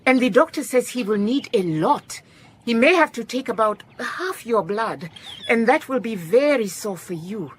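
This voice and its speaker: An actress playing a calm, shrewd, kindly woman detective in a radio drama, measured and politely firm, with a Southern African accent. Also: on the high side, a little hiss or hum, natural voice. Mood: grave, insistent. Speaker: lead actor in a radio drama. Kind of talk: radio drama acting with reflective first-person narration.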